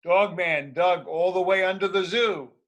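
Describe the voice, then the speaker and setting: Slow, bright-voiced man reading aloud. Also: sits high. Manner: deliberate, emphatic, expressive. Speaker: children's storybook reader. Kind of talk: read-aloud story for schoolchildren.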